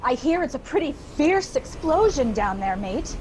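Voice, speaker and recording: Excitable, matey railway worker played by a teenage girl, animated high voice, radio-play acting, noisy background. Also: normal speed. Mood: matey, wary. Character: anxious, matey railway co-worker of crane driver. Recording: clearly noisy background ambience.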